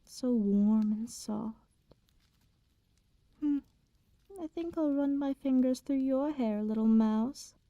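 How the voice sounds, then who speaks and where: Woman playing a slow, velvety, dark-toned vampire lady. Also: high-pitched, dry recording. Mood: teasing, indulgent. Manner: formal archaic diction, unhurried, teasing. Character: seductive immortal vampire woman, condescendingly tender, quietly menacing predator. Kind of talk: slow ASMR roleplay, intimate bedtime storytelling.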